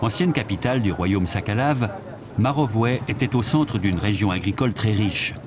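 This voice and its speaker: A man giving scripted documentary narration in a measured, even delivery. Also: lowish voice, noisy background.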